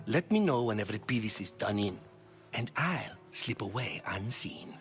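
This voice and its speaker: An actor playing a vain, theatrical ancient Greek playwright in a game cutscene, witty and grandiloquent. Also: male voice, on the low side, big pitch swings, measured pace, soft background music. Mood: wry. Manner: self-mocking, grandiloquent, witty. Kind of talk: video game cutscene acting.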